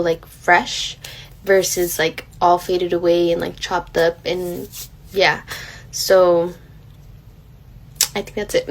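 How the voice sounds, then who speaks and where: Young woman with a flat, hesitant voice. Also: on the low side, low-quality recording. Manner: chatty, hesitant, apologetic. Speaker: student interviewee. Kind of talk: personal testimony.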